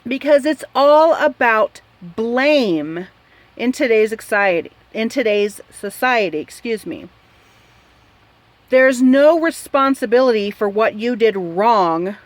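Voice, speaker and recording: A woman's voice: on the high side, lively intonation, slow, no room sound. A woman host giving opinion commentary in a blunt, exasperated and emphatic manner. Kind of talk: opinion commentary on a news article.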